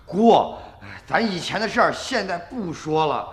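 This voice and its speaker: A man's voice: sits high, very animated, unhurried, reverberant. An actor playing a flustered, agreeable village husband in a radio drama, hesitant and repeating his words. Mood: urgent. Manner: stammering repetitions, hesitant. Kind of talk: Chinese radio drama acting.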